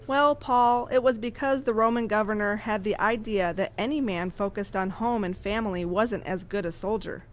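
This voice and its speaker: A woman playing a kindly, patient nun who teaches through measured storytelling in an audio drama. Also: medium pitch, close to an everyday voice. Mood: explaining. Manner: didactic, measured.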